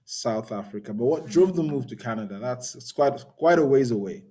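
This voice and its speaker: A man's voice: medium pitch, expressive, warm tone. Polite male interview host. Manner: measured, polite, with frequent ums. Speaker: podcast host. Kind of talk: conversational interview.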